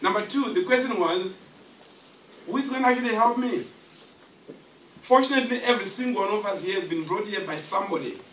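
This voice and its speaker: A man's voice: high voice, a little fast, pauses often, a bit bright. A man, jovially giving a motivational testimony to a hotel audience. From Zimbabwe. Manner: jovial, jokes with the crowd. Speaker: guest speaker giving a testimony. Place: meeting room in a hotel. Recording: echoey hall, distant mic, faint noise.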